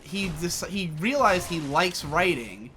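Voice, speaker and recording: A man's voice: high voice, lively intonation, slow pace, background noise. A young man in his twenties chatting casually as co-host, rambling with restarts and casual swearing. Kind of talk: casual chat.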